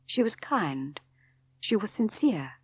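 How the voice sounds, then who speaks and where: A woman's voice: mid-range voice, very animated, slow pace, husky, no echo. A woman with an English accent reading a novel aloud as dramatic literary narration. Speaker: audiobook narrator.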